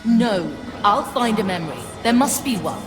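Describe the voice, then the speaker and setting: A woman's voice: medium pitch, animated, close to an everyday voice. Woman playing a solemn, echoing ghost ship spirit. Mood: pleading. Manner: solemn, formal, measured. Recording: echoing, long reverb under music.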